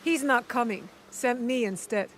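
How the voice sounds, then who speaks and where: A woman's voice: a little high, a little hiss or hum, natural voice. Resolute, wry young woman shinobi played by an actress. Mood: firm, guarded. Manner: measured, probing, dryly teasing. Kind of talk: video game cutscene acting.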